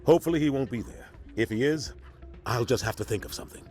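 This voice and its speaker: Man playing a brisk, resolute military captain. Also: mid-range voice, a little mellow, soft background music, lightly acted. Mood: determined. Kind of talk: video game cutscene acting.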